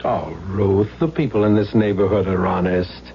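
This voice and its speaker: A man's voice: low voice, steady tone, moderate pace, natural voice. An actor playing a mild, trusting, hesitant ordinary man in a radio drama. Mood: sheepish, defensive. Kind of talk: 1970s radio drama acting. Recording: muffled, slightly noisy old radio.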